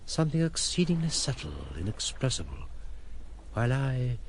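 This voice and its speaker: Man acting a expressive, brooding gentleman recluse. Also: mid-range voice, moderate pace, slight background noise, close to an everyday voice. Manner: formal, reflective, articulate. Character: brooding, philosophical reclusive gentleman, tender and wry. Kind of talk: radio drama acting.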